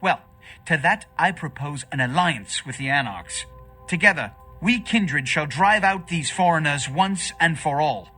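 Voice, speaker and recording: Imperious vampire prince, bright, animated voice. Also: male voice, on the high side, a little slow, soft background music. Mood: commanding, persuasive. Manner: pompous, formal, imperious. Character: haughty, scheming vampire prince ruling a city. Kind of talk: theatrical video game voice acting.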